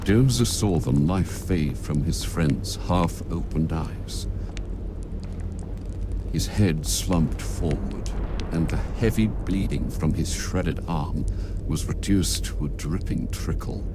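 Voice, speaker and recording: A man's voice: low-pitched, slow, background noise. A man reading scripted narration for an audio drama as its storyteller.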